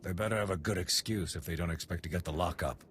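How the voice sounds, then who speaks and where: An actor playing a gruff, stern male military commander in a video game, speaking in a clipped, authoritative way. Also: low-pitched, quick pace, fairly bright tone, a little hiss or hum. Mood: gruff, businesslike. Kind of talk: dramatic video game dialogue.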